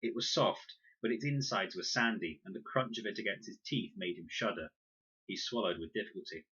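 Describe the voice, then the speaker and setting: A man's voice: on the low side, a little fast, bright, forceful tone, breathy edge. A man narrating a children's adventure audiobook expressively, on a dull, lo-fi recording. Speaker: reader, narrator.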